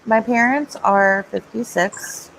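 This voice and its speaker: A woman's voice: on the high side, takes it slowly, creaky, fairly bright tone, slight background noise, poor audio. A woman in her thirties chatting casually on a video call stream and laughing often. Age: 30s. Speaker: panelist on a live stream. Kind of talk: casual group chat.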